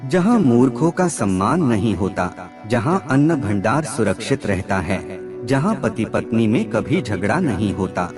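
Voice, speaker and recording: A man reading scripted moral maxims as a narrator, in a measured, instructive manner. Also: mid-range voice, big pitch swings. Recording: clear background music under voice. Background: music.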